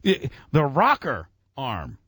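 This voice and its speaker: A man's voice: medium pitch, wildly swooping pitch, slow pace, fairly bright tone, slightly rough, dry recording, studio quality. A man hosting a casual radio show, explaining and quizzing with an enthusiastic, profane, teacherly manner.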